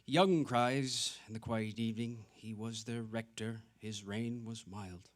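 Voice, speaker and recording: A man's voice: on the low side, steady tone, measured pace. A man narrating literary fiction in a dramatic reading. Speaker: actor reading aloud.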